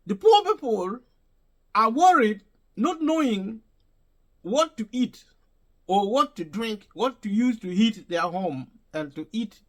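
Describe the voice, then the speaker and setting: High, lively-voiced man talking to viewers. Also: takes it slowly. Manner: repetitive, restates and rephrases points; emphatic, addresses viewers directly. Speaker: vlogger. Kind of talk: unscripted opinion monologue.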